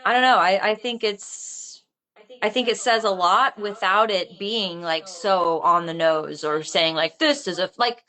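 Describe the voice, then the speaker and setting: A woman talking casually in a panel discussion, thinking aloud in a halting, rambling way. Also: medium pitch, sharp tone, poor audio. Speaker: filmmaker discussing own script.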